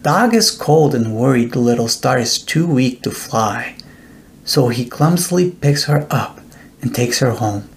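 A middle-aged man reads a children's bedtime story at a very slow pace. His voice has some creak in it and sits at an average pitch. He tells it deliberately and expressively, as an author sharing his own book. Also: lively intonation.

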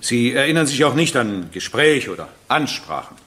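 A man's voice: mid-range voice, very animated, moderate pace, fairly bright tone. An actor playing a composed male presiding judge, formal and businesslike, in a German courtroom radio play.

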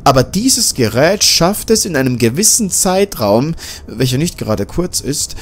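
A man voicing a smug, formal male scientist in a castle in a self-made audiobook, speaking in a measured, condescending way. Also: a little high, sing-song, heavily acted. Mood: lecturing. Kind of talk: audiobook character voice.

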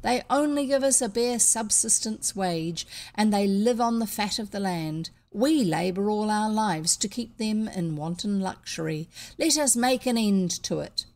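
A woman's voice: medium pitch, animated, unhurried, a little mellow. A woman reading a storybook as a tall working man, a fiery orator rousing a crowd with emphatic declamation. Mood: indignant, rousing. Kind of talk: storybook character voice.

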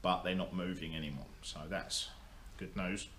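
A man's voice: deep voice, fairly even intonation, moderate pace, a bit bright. A young man giving a casual, rambling update to camera, full of ums and restarts. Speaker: motorsport vlogger. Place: indoor workshop. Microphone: camera in front of him. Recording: echoey workshop, faint hum.

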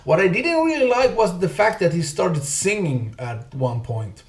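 A man in his thirties, not a native English speaker, giving a casual music reaction with halting speech and many fillers, recorded on a desk webcam at home. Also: high-pitched, lively intonation. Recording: echoey room.

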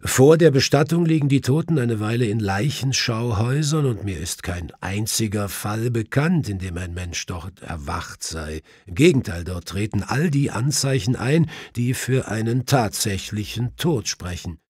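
A man voicing an earnest, cautious Victorian doctor in an audiobook, explaining things in a measured, formal way. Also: on the low side, animated, a little mellow, husky, no room sound, studio quality. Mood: assured, explanatory. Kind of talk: audiobook character voice.

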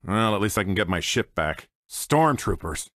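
A man's voice: lowish voice, sing-song, moderate pace, fairly bright tone, dry recording. An American man playing a cocky, wisecracking space smuggler and pilot in a video game, with a dry, sardonic, swaggering manner. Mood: wry, cocky. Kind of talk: video game character voice clips. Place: dry studio recording.

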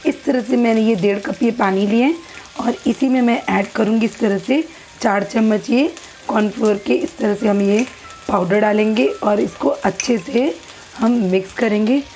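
A woman talking through a recipe step by step in her home kitchen, in an unhurried, repetitive instructional way. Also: a little high, a little mellow, slight background noise, low-quality recording. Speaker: cooking channel host. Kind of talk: step-by-step cooking demonstration. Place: home kitchen at a gas stove.

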